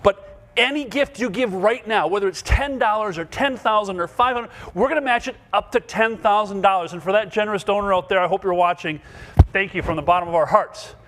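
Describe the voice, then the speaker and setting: A man hosting a live gala fundraiser, speaking with excited, urgent, rallying energy. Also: sits high, a little fast, slight background noise. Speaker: fundraising host.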